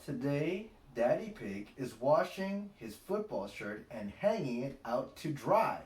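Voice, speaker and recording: Very slow, animated male storybook reader. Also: medium pitch. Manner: animated, deliberate delivery. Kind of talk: expressive children's read-aloud narration. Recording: echoey, roomy sound.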